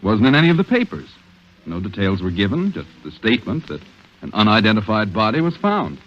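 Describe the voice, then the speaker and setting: A man's voice: fairly low pitch, very animated, a little fast. An actor playing a blunt, skeptical male police investigator in a 1940s-style radio drama. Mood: suspicious. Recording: muffled, faintly noisy vintage radio recording.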